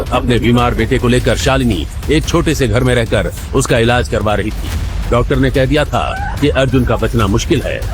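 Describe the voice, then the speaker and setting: A man narrating a dramatic audio story in a scripted storytelling style. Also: medium pitch. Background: background music.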